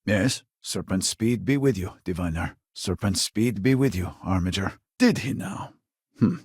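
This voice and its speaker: A weary, devout man of science in a sci-fi video game. His husky voice sits fairly low yet moves widely in pitch. He speaks formally and earnestly, with measured, scripted phrasing. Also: animated, darkish tone, dry recording, studio quality, full character voice.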